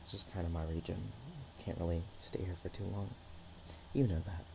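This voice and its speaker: A man voicing a shy, playful young dragon-dog girl in an ASMR roleplay, speaking softly and haltingly with naive affection. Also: low voice, fairly even intonation, vocal fry, noisy background. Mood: playful, cozy. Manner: hesitant, halting, giggly, soft-spoken. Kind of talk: ASMR roleplay, one-sided intimate chat.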